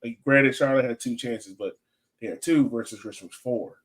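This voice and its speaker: A man's voice: mid-range voice, moderate pace. Man hosting a casual soccer chat. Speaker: soccer podcast host.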